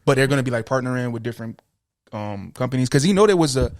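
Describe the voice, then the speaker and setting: A quick-talking American man on a casual podcast. He has an opinionated, slangy delivery and a mid-range pitch. The studio sound is very dry, with no room around the voice. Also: animated, no echo. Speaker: podcast co-host. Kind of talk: casual chat.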